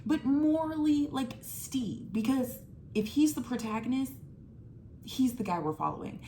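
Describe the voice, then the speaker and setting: A woman's voice: high-pitched, lively intonation, dark tone, slight background noise. A woman in her twenties giving casual, emphatic movie commentary, full of filler words. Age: 20s. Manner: chatty and emphatic, full of "like", swings from fast to drawn out.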